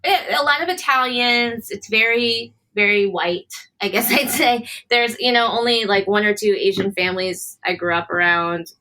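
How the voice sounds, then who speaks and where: A woman's voice: mid-range voice, moderate pace, hardly any pauses, sharp tone, rough recording. A woman chef from upstate New York, answering interview questions in a thoughtful, hesitant way. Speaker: chef, guest. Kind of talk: casual interview.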